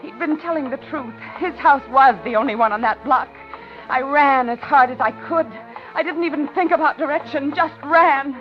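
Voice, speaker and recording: Dull vintage radio sound. Actress plays frightened female captive, high-pitched, polite on the surface, breathless dramatic narrator of a thriller. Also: moderate pace, lightly acted. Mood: panicked. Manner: dramatic, breathless first-person suspense narration. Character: frightened captive woman, narrating her ordeal, outwardly polite. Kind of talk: 1950s radio thriller acting. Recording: dull 1950s radio drama, loud music.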